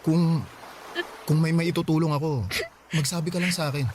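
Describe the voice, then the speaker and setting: A man's voice: fairly high pitch, fairly even intonation, moderate pace, a little mellow, slight background noise, natural voice. A man playing an earnest, caring young boyfriend in a radio drama, speaking in a tender, reassuring way.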